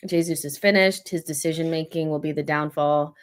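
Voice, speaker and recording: A woman's voice: low voice, steady tone, brisk. A woman hosting a casual football chat, blunt and pessimistic. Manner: blunt, pessimistic fan venting. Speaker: host.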